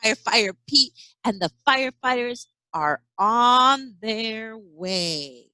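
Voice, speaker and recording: A woman reads a picture book to young children in a bright, fairly high voice. She takes her time and delivers it expressively, like a teacher, adding sound effects and chatty questions. The sound is close and dry. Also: expressive, slow, clear, resonant tone, dry recording. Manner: acts out sound effects and exclamations. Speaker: early education teacher.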